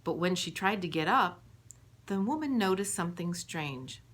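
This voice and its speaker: A woman reading her own book aloud as scripted audiobook narration, in a measured storytelling manner. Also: fairly low pitch, lively intonation, a bit bright.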